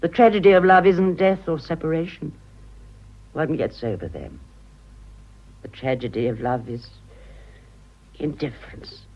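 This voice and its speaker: An actress playing a vain, self-pitying ageing romantic in a British radio drama, confiding dramatically and halting for effect. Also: female voice, low-pitched, lo-fi sound. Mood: theatrical, romantic. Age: older.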